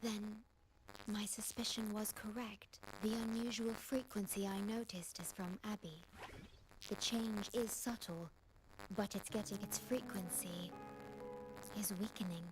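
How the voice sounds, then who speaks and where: A woman playing a calm, formal female guardian in a video game, measured and soft-spoken. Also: medium pitch, close to an everyday voice, low-quality recording. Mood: calm, concerned. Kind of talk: video game story dialogue.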